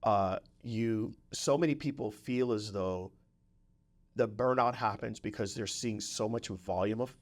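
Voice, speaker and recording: A man's voice: on the low side, unhurried. A man interviewing someone in a casual, conversational way, restating points and affirming the guest. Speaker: podcast interviewer, physical therapist.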